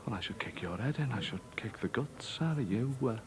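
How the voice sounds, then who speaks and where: An actor playing a blunt, sarcastic middle-aged husband with a temper. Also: male voice, fairly low pitch, lively intonation, fairly quick, background noise. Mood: stunned.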